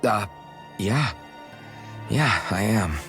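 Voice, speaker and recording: A man's voice: on the low side, lively intonation, extremely slow, fairly bright tone, soft background music, exaggerated voice. A man playing an earnest, patient young fantasy hero in a video game. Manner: earnest, conciliatory.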